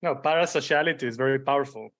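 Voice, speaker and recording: A man's voice: medium pitch, rapid-fire, fairly bright tone. A man in his forties from Mexico and Israel with a Spanish accent, talking casually as a podcast guest. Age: 40s. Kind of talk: casual interview conversation.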